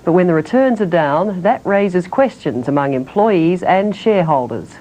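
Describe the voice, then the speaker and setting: A woman presenting news in a crisp, even newsreader delivery. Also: lowish voice, normal speed, poor audio. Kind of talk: news reading.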